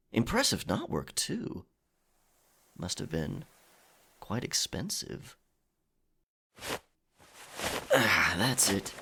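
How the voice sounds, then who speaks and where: A man's voice: low-pitched, sharp tone, a little hiss or hum, full character voice. A man playing a roguish pirate captain, courteous but firm, with gallant old-fashioned phrasing in an intimate ASMR roleplay. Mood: calm, curious. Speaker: audio roleplay performer. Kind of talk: ASMR audio roleplay, intimate dramatic acting.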